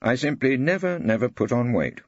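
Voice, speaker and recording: A man puts on a thin, complacent husband for an audiobook. The voice is pitched up and earnest, with emphatic phrasing. The recording is a little dull. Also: big pitch swings, normal speed, no echo. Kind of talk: audiobook character dialogue.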